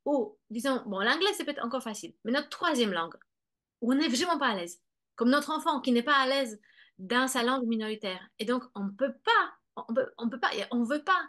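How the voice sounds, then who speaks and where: A woman's voice: fairly high pitch, expressive, a little fast, fairly bright tone. Hesitant woman explaining, with many fillers. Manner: acts out children's and parents' words. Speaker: guest expert on bilingual children. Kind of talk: explanatory conversation.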